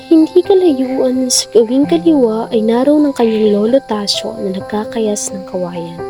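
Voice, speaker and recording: High-pitched woman narrating over music. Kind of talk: scripted literary narration for radio drama. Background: background music.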